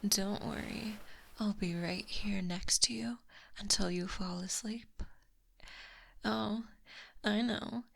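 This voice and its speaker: A woman playing a possessive, teasing lamia monster girl in a soft, breathy ASMR roleplay, close on a binaural microphone. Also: mid-range voice. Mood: doting, teasing. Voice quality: breathy, whispery. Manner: soft, teasing, slow and deliberate.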